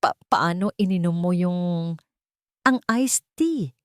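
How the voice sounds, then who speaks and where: A woman's voice: lowish voice, animated, takes it slowly, clean tone, no echo, studio quality. A woman voicing a scheming, mocking daughter-in-law in a narrated drama story. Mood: shaken. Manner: sneering, defensive.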